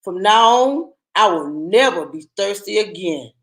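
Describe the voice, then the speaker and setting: A woman voicing a cheeky male armadillo in a storybook, in a raised, excited voice. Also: medium pitch, measured pace, roomy sound. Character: cheeky male armadillo who loves fresh water.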